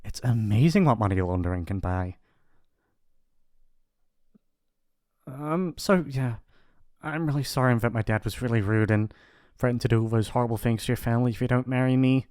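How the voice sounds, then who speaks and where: A man's voice: medium pitch, brisk, a little mellow, no room sound, studio quality, close to an everyday voice. A man playing a shy, apologetic young mafia boss's son in an intimate ASMR roleplay, with a hesitant, rambling, awkward delivery.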